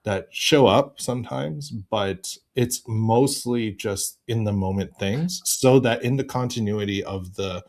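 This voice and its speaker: A man chatting casually as a podcast guest, telling stories in an animated way with jokey asides. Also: fairly low pitch, unhurried, hardly any pauses. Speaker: podcast guest, improv voice performer. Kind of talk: casual chat.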